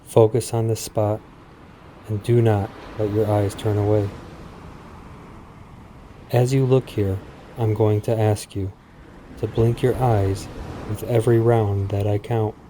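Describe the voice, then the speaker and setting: Slow, dark-voiced man guiding sleep meditation. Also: lowish voice, level tone, a little hiss or hum. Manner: slow, soothing, measured, deliberate pauses. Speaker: podcast host. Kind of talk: guided sleep meditation, parody hypnosis script.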